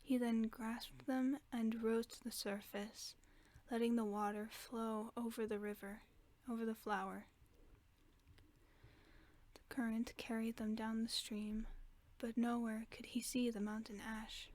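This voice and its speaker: Woman doing a caring werewolf buddy, soft whispery bedtime voice, kinda high, flat and calm, slow soothing reading. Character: gentle caring werewolf friend in human form. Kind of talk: ASMR sleep-aid roleplay.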